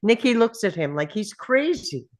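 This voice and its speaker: A woman's voice: medium pitch, very animated, normal speed. A woman narrating screenplay stage directions in clipped phrases.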